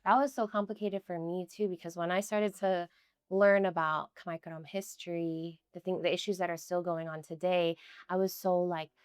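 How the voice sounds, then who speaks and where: Young woman, smooth low-pitched voice. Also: level tone, moderate pace, no echo, clean studio sound. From United States. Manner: chatty, many "like" fillers, earnest. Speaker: guest. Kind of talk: casual conversational interview.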